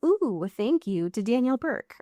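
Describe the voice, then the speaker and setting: An American woman co-hosting a podcast in casual, comedic chat, riffing with mock exasperation and drawn-out emphasis. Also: on the high side, very animated, warm tone, no room sound, studio quality. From US Pacific Northwest. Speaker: podcast co-host.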